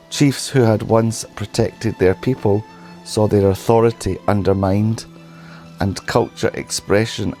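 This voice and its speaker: Hoarse man with a very deep voice. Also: a little mellow. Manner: solemn, measured storytelling. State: hoarse from a sore throat. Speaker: history channel host. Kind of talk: scripted documentary narration.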